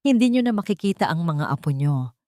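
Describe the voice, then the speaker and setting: A woman's voice: on the low side, very animated, brisk, warm tone, dry recording, studio quality. A woman voicing a hurt, resentful grown son pleading with and pressuring his mother in an audio drama. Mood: angry ultimatum.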